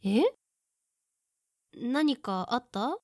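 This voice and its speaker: A woman's voice: a little high, lively intonation, very slow, a little mellow, no echo, studio quality. A woman playing a teasing, perceptive woman in her mid-thirties who puts on cheer, in casual audio drama dialogue. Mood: probing, evasive.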